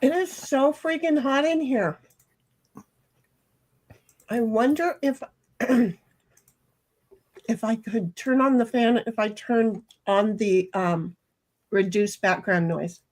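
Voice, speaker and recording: Chatty lady w a highish pitchy voice, rambling thru a card game at home. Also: slow, pauses often, a little mellow. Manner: chatty, rambling, self-described high pitchy voice. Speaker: streamer playing online cards with friends. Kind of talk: casual chat while gaming. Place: hot room at home.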